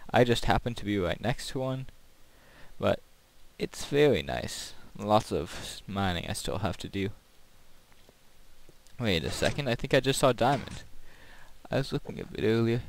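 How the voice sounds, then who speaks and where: A man's voice: fairly low pitch, expressive, normal speed, vocal fry, long pauses, rough recording. A man casually talking through his builds and play as a gaming video host, in a rambling commentary.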